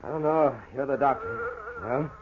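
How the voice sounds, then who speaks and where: A man playing a blunt, excitable young man in an old-time radio horror drama, with an urgent way of talking. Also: mid-range voice, normal speed, a crowd in the background, natural voice. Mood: tense, urgent. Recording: noisy, tinny vintage radio recording.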